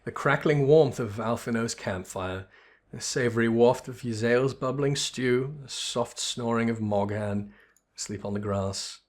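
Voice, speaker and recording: A man voicing a solemn, nostalgic storyteller in a fantasy game, with measured, literary, wistful delivery. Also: medium pitch, close to an everyday voice. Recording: music behind the voice.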